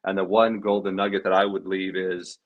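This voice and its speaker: A man's voice: deep voice, little pitch movement, fairly quick, muffled recording. A man of about forty on a video call panel, a life coach sharing advice in a reflective, loose way with many fillers. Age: 40s. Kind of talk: casual panel chat.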